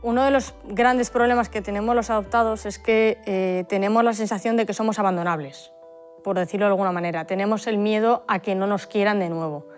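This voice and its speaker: A woman's voice: mid-range voice, steady tone, brisk, soft background music. A woman adopted from Russia speaking candidly and reflectively in a documentary interview.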